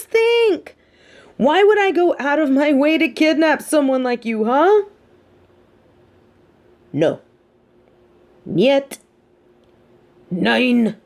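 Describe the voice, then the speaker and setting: A woman playing a sardonic, teasing supervillain with a hidden soft side, talking straight to the listener in an audio roleplay with theatrical, mocking emphasis. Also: high-pitched, exaggerated voice. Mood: exasperated. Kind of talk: scripted audio roleplay monologue spoken to the listener.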